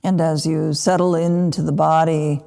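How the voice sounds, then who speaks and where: A woman teaching a guided meditation, speaking in short, unhurried phrases with gentle pauses. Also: low voice, flat delivery, creaky. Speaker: Buddhist meditation teacher (lama).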